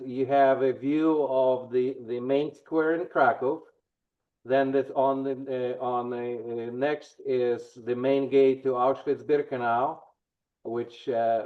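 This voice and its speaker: Polish-accented male presenter, mid pitch, halting, muffled lo-fi sound. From Poland. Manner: halting, frequent restarts. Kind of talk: town hall presentation.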